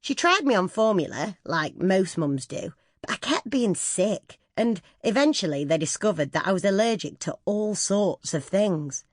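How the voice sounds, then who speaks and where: A woman's voice: medium pitch, expressive, normal speed, few pauses, dry recording, clean studio sound. A woman reading a scripted first-person memoir as an audiobook narrator.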